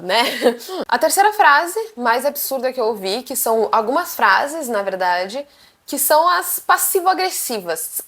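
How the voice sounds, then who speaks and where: Young brazilian girl vlogging, high voice, chatty and animated. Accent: Brazilian Portuguese. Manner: animated, chatty, acts out quotes. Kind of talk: casual storytelling to camera.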